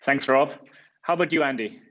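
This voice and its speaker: A man's voice: mid-range voice, fairly quick, fairly bright tone. Informal man moderating, slightly dull audio. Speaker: panel moderator. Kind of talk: casual questioning.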